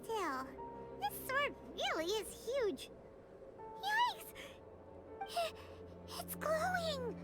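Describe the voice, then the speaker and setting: A tiny floating companion with a very high, squeaky voice that jumps all over the place. She is excitable, blunt and chatty, and game music plays loudly behind her. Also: extremely slow, long pauses, exaggerated voice. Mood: amazed. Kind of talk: game cutscene voice acting.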